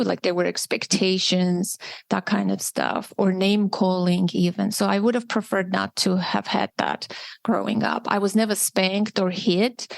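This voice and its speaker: A woman in her forties, originally from Armenia, talks in a candid, reflective way, restarting her sentences as she thinks. Her voice sits at a middle pitch and sounds dry and close, with no room around it. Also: gravelly, no room sound. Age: 40s. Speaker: podcast host. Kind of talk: personal conversation, answering intimacy questions.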